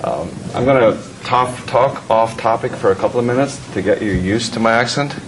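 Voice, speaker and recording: A man with an Irish accent giving a relaxed, self-deprecating conference presentation, with frequent fillers. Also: medium pitch, moderate pace, low-quality recording. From Ireland. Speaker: economics professor and presenter. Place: conference hall at a trade expo. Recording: audible background noise.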